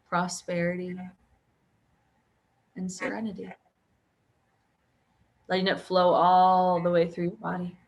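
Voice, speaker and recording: Slow, soft-spoken woman guiding a meditation. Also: mid-range voice. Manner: slow, soothing and soft-spoken, often near a whisper. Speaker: meditation guide. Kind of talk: guided sleep meditation.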